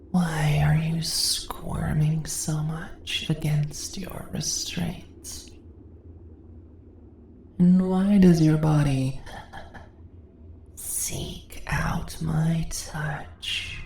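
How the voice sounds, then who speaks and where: A woman voices a predatory spider-woman in a fantasy ASMR roleplay, very slow and creaky. She is dominant and seductive, toying with her prey in teasing purrs and whispers. The sound is roomy and echoing. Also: sits low, mellow, full character voice. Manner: teasing, purring, slow, often dropping into whispers. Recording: roomy echo, faint background noise.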